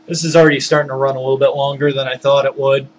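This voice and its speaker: A man telling a story casually, rambling and halting as he searches for words and digresses. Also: mid-range voice, flat delivery. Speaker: storyteller, former tabletop game master.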